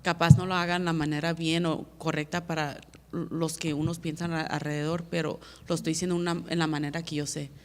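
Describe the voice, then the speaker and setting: A woman's voice: sits low, level tone, brisk, hardly any pauses, a little mellow, in a large hall. An American woman fighter answering reporters' questions at a media day, earnest and plainspoken, often repeating words as she searches for them. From United States. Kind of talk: press conference answers.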